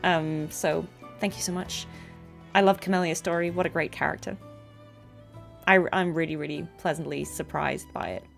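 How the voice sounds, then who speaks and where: Chatty woman streamer, low gravelly-edged voice. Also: fairly even intonation, moderate pace, faint music behind. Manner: chatty, giggly, affectionate toward viewers. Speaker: streamer and voice actress. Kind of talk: casual livestream chat to viewers. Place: at home.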